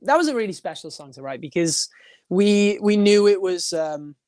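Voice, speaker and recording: A young man with a high voice, a band singer talking in a casual podcast interview, rambling with many restarts and little laughs. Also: animated, normal speed, a little mellow, clear, resonant tone, no room sound, clean studio sound. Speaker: guest, band frontman and vocalist.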